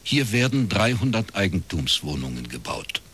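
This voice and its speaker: Deep-voiced, skeptical private detective. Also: male voice, sing-song, moderate pace, a bit bright, slightly rough, heavily acted. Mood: sober, resigned. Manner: terse, dry, matter-of-fact. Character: skeptical, uneasy male private detective, educated, narrating his case. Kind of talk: radio crime drama, dialogue and first-person narration.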